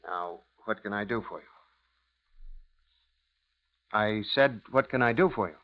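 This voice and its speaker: A man's voice: fairly low pitch, measured pace, close to an everyday voice. An actor playing an anxious, hesitant American businessman, weak-willed and deferential, in a 1950s radio drama. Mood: guarded. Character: nervous, weak-willed guilty businessman, submissive to his lover. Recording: dull, lo-fi 1950s radio recording.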